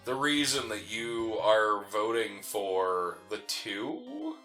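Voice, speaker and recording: A man's voice: lowish voice, very animated, slow, bright, forceful tone, soft background music. A man co-hosting a gaming show, chatting casually and arguing in a teasing way as he comments on the game.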